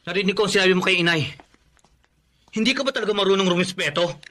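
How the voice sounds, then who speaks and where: A man's voice: high-pitched, quick pace, bright, forceful tone. An actor playing a principled, indignant young Filipino man and protective son in a radio drama, firm and earnest.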